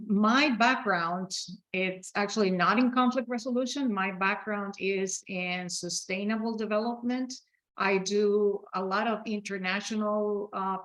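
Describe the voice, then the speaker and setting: A woman's voice: medium pitch, a bit bright, poor audio. A middle-aged woman with an Ecuadorian Spanish accent, giving a program presentation over a video call with many fillers and restarts. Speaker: program director. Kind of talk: virtual open house presentation.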